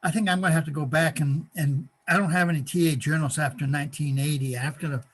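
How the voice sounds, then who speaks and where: An older man taking part in a workshop, chatting casually over a video call, halting and repeating words with frequent chuckles. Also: a little high, fairly even intonation, slightly rough. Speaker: workshop participant. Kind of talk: casual chat.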